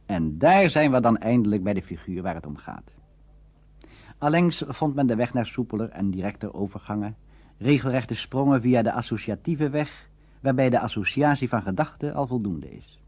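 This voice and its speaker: A man presenting a documentary on radio drama as scripted narration, formal and expository, with a husky voice. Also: medium pitch, expressive, normal speed, darkish tone.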